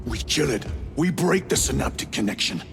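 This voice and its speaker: An actor playing a resolute male space marine lieutenant who speaks in terse, measured statements. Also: medium pitch, animated, vocal fry, over music. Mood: steady. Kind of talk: game cutscene dialogue. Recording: game audio with score underneath.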